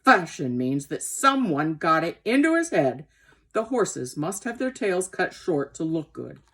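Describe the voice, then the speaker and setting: A woman voicing a gentle but indignant old male horse in a storybook, speaking in an emphatic, aggrieved and dramatic way. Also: deep voice, sing-song, a little slow, slightly rough, full character voice. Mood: scornful.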